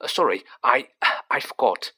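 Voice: mid-range voice, lively intonation, measured pace, pushed and bright, breathy edge, heavily acted. A storyteller voicing an earnest, curious teenage wizard boy who speaks plainly, in an audiobook reading. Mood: curious, hesitant.

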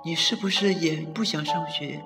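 A man's voice: moderate pace, vocal fry. A man voicing an eager, tearful little boy in a children's storybook. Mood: worried. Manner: high childlike squeal. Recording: reverberant with background music.

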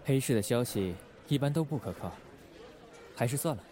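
A man playing a controlled, calculating undercover agent in a radio drama, measured and guarded. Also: medium pitch, lively intonation, crowd noise, lightly acted. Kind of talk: Chinese radio drama acting.

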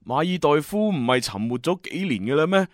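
A man playing a cocky, eager young time-travel agent with animated, exclamatory delivery in a radio drama. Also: on the high side, a little fast, no echo, clean studio sound. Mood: groggy, baffled. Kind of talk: Cantonese sci-fi radio drama dialogue. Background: music.

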